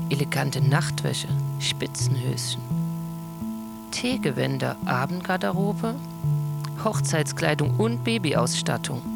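An actress playing a nosy wife who reads out lists with curious relish in a radio play. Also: female voice, sits low, vocal fry, full character voice. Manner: slow, savouring each item. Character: snooping, curious wife reading letters aloud.

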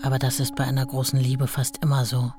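An actress playing a devoted, motherly and anxious woman in love in a radio play, speaking softly and in a heartfelt way. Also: rumbling low, completely flat delivery, quick pace. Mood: wistful. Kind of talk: radio play, intimate letter reading and recollection. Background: music.

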